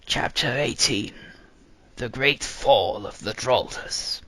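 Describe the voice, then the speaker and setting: A man voicing a very old, embittered fantasy survivor in a storybook, raspy, strained and slow, with a grave, labored manner. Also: mid-range voice, bright, forceful tone, no echo, voice pushed down, full character voice, low-quality recording. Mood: grim, pained.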